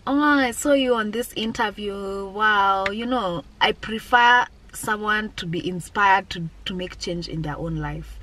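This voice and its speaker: A woman's voice: mid-range voice, a bit bright, low-quality recording. A woman in her twenties talking candidly and reflectively to viewers, often repeating key phrases for emphasis. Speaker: vlogger, business owner. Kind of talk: personal reflective talk to viewers.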